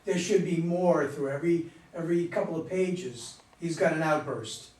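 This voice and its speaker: A man's voice: a little high, normal speed, darkish tone. A man in an audience giving informal critical feedback during a group discussion. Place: room at a live play reading. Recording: very echoey room, far from mic, faint noise.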